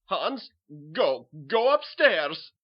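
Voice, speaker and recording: A husky, slow-spoken German master , acted by a man urges and orders in a horror tale. His put-on German accent swings dramatically up and down, sly and falsely jovial, yet imperious. The recording is dull and lo-fi. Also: sits high, sing-song, voice pushed up, exaggerated voice. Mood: insistent, imperious. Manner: coaxing, insistent, imperious. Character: sly, commanding male German butcher and officer, falsely jovial. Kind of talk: theatrical horror story acting.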